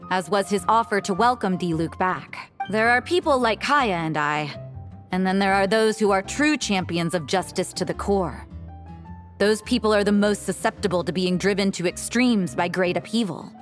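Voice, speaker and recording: Actress doing a cool young nun for a game; blunt, dry, unhurried, mid-pitched. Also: female voice, natural voice. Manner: dry, blunt, matter-of-fact. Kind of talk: video game voice acting.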